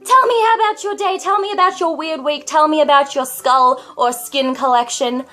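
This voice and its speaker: A young woman, probably in her teens, talking to camera in a confessional vlog, with a high, girlish voice and a dramatic, self-mocking manner. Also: normal speed, pushed and bright, soft background music. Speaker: vlogger.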